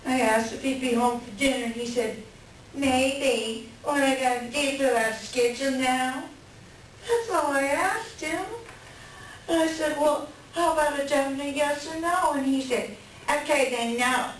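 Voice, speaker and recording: A woman's voice: sits high, fairly even intonation, measured pace. A woman playing an upset, bitter wife venting about her cheating husband in a staged play reading. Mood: agitated. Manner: agitated, colloquial venting. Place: library room reading before an audience. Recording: very echoey room, distant mic, faint noise.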